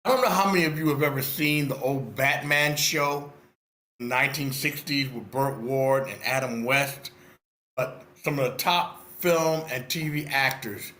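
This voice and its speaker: Older man, bright voice, roomy echo. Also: medium pitch, a little slow. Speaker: script feedback participant. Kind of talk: casual spoken critique. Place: indoors on an online meeting call. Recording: roomy echoing online meeting audio.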